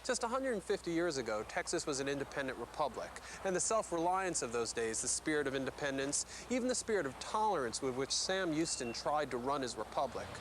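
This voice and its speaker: A man hosting a television music special, giving a measured, upbeat scripted introduction. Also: fairly high pitch, sing-song, background noise. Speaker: host. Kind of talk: scripted TV presenting.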